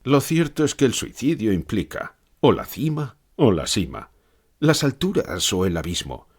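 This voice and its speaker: Actor as husky, brooding pessimist philosopher, low-voiced man. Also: sing-song, normal speed, darkish tone, heavily acted. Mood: reflective. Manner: dramatic, declamatory, rhetorical repeated questions. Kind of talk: acted fictional interview, audiobook.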